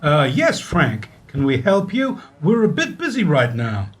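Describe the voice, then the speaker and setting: An actor playing an evasive, flustered bank manager in a radio play, hesitant and awkward. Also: male voice, on the high side, wildly swooping pitch, a little slow. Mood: evasive, busy.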